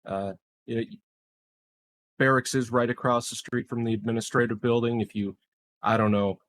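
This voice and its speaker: A man's voice: mid-range voice, fairly even intonation, normal speed, a bit bright. A man chatting as a player in a tabletop game, speaking informally with frequent hesitations. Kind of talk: casual game chat.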